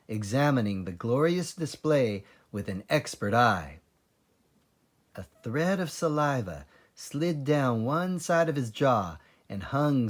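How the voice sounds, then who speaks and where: A man narrates a children's story with dramatic expression. His delivery is slow and deliberate, with lively rises and falls in pitch. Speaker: children's story reader, librarian. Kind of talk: expressive scripted read-aloud narration.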